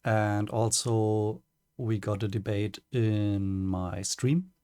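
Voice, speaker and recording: A man with a German accent and German as his first language, giving a prepared personal statement as a streamer, careful and hesitant with many pauses and restarts. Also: fairly low pitch, fairly even intonation, warm tone, clean tone, no room sound, clean studio sound.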